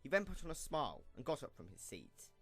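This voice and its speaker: Animated male story narrator. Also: fairly high pitch, fast talker, slightly rough. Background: music.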